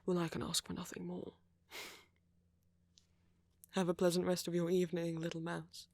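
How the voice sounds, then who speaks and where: A man playing a friendly, flirty vampire in an ASMR roleplay, speaking softly, politely and coyly. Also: high-pitched, completely flat delivery, unhurried, gravelly, no room sound. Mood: friendly, gently flirty. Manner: soft-spoken, polite, coy, chuckling. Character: friendly flirty gentle male vampire, shyly hopeful.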